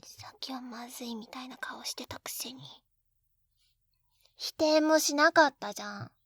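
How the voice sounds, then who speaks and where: A woman's voice: sits high, moderate pace, fairly bright tone, breathy edge, dry recording, heavily acted. A woman playing an eager, clumsy, sweetly pouty young wife in an intimate binaural roleplay, with a cutesy, flustered delivery. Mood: sulky, hurt. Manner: cutesy, flustered, pouting, hesitant little gasps. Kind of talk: Japanese situation-voice roleplay, intimate ASMR audio drama. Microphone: close binaural 3Dio microphone.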